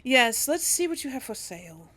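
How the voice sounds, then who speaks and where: A woman's voice: sits high, very animated, moderate pace, pushed and bright. A woman giving casual commentary as she role-plays through a video game, thinking aloud hesitantly. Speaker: video game streamer role-playing for an audience.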